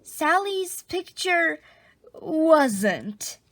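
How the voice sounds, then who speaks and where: A woman reading a children's picture book aloud, expressively, with drawn-out dramatic emphasis. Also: sits high, sing-song, fairly bright tone, dry recording. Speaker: storybook reader.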